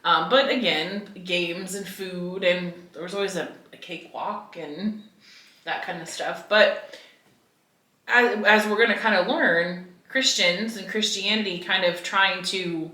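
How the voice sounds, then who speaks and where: American female podcast co-host, lowish bright voice, informal chat style, roomy echo on the recording. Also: steady tone, measured pace. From American Midwest. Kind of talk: casual faith discussion.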